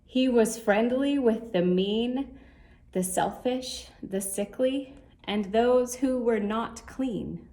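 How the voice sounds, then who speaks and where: A woman reading a rhyming children's story aloud in a measured, expressive way. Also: on the high side. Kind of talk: scripted storybook narration in rhyme.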